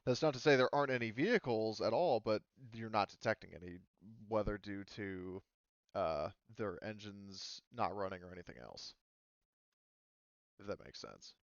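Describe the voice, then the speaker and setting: A man's voice: fairly low pitch, lively intonation, normal speed, creaky, no echo, highs cut off. A man acting as game master, casually narrating and explaining rules in a hesitant, rambling way.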